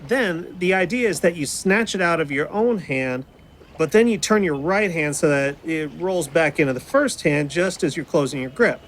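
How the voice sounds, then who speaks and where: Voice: mid-range voice, expressive, moderate pace, a little hiss or hum, lightly acted. An actor playing a friendly stage magician with an easy showman's patter in an audio drama. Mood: friendly, encouraging. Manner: showman's patter addressed to an audience.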